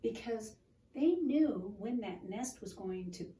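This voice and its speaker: A woman reading a picture book aloud to a child and explaining it in a gentle, teacherly way. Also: fairly high pitch, animated, slow. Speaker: children's storytime reader. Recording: roomy, distant mic with room noise.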